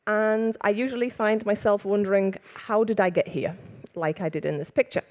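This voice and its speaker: Liverpool lady giving a conference talk, chatty, laughs a lot, talks fast when she gets going. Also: medium pitch, steady tone. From England. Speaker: conference speaker. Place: conference room with audience.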